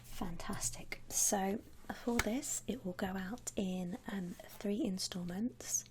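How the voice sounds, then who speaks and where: A woman voicing a friendly, attentive vampire saleswoman in a gentle, breathy ASMR whisper, close to the mic. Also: mid-range voice, background noise, lightly acted. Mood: helpful, soothing. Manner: gentle, reassuring, chatty customer-service talk with pauses. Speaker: ASMR roleplay performer. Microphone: close ASMR microphone.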